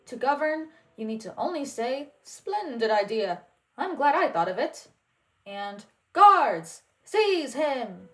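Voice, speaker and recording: A woman's voice: high voice, sing-song, slow pace, fairly bright tone, full character voice. A woman voicing a confident, boyish young prince in a storybook, with formal and emphatic speech. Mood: eager, confident.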